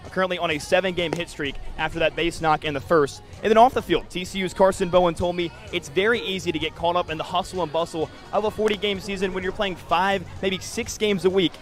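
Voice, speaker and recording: Fast-talking, higher-pitched young male sportscaster. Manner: polished, fluent broadcast delivery. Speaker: sports broadcaster, interviewer and sideline reporter. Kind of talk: upbeat sports interview and sideline report.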